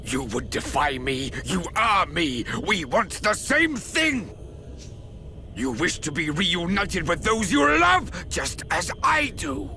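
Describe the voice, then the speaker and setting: A man's voice: sits high, big pitch swings, slow, bright, forceful tone, slight background noise, exaggerated voice. An actor playing an obsessed, grieving villain king in a video game, speaking in a theatrical, declamatory manner. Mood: commanding. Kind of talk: dramatic video game voice acting.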